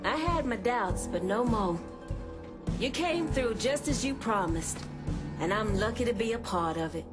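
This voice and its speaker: Tough gangland woman, fairly high voice, assertive and shrewd game drama, clear music behind. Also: animated, measured pace. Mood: frank, grateful. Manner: assertive, frank. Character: confident, shrewd, tough female crime underboss. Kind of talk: dramatic game cutscene acting.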